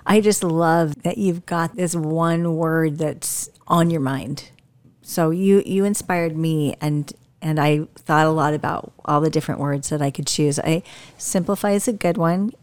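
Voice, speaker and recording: An older woman with a somewhat low voice chats eagerly in a casual group conversation. She talks freely and laughs at her own jokes. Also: unhurried. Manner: chatty, upbeat, laughs at herself. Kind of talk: casual chat.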